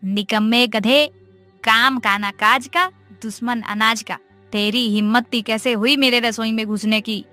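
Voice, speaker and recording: A woman playing a fierce farmer's wife in an animated moral story, scolding with threats. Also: on the high side, a little slow, soft background music. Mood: furious.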